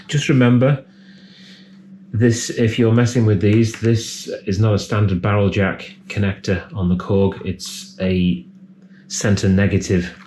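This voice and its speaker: A man's voice: deep voice, mellow, slight background noise. A man giving casual, hands-on repair commentary as a technician, thinking aloud in a tentative way. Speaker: electronics repair technician presenting a repair.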